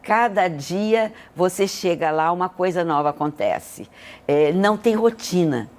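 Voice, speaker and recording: A woman's voice: on the low side, expressive, unhurried, a little mellow. An older Brazilian woman telling personal stories as an interview guest, speaking in an earnest, emotive way. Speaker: interview guest, hospital leader. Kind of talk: reflective personal storytelling in an interview.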